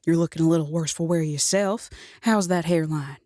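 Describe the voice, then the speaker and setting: A woman's voice: low-pitched, moderate pace, dark tone, no room sound, close to an everyday voice. An actress playing a brazen, sardonic jailed female outlaw in a western audio drama, with a dry, taunting manner. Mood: dryly sarcastic.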